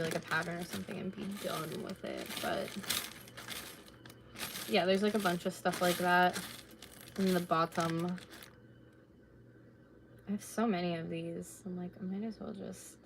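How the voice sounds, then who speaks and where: A woman in her late twenties, slightly raspy from talking, chatting casually and rambling while she crafts at home on a live stream. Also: fairly low pitch, a bit bright, a little hiss or hum. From North America. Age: late 20s. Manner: rambling, chatty, laid-back with filler words.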